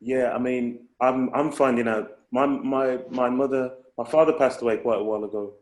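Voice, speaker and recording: A man's voice: medium pitch, fairly even intonation, normal speed, darkish tone. Reflective man in an echoey room. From England. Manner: reflective, rambling with restarts. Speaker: guest, hip hop artist. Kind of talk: casual conversation.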